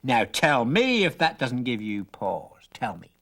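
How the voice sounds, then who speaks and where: A storyteller voicing a very old, odd stranger man who talks in wordy, drawn-out persuasion, with a husky voice. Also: mid-range voice, big pitch swings, a bit bright, exaggerated voice. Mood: boastful.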